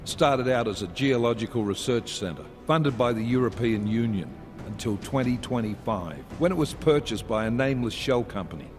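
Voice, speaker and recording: A man voices a brisk police task force chief in a video game who speaks with authority at a mid pitch. Soft music plays under the scene. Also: expressive, close to an everyday voice. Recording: game cutscene with score.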